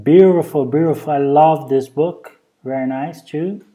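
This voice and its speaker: A native Brazilian Portuguese speaker with a Brazilian Portuguese accent, speaking hesitant English with many pauses in a casual show-and-tell vlog recorded at home on a handheld camera. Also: mid-range voice, warm tone. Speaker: vlogger. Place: indoors at home, over a bed.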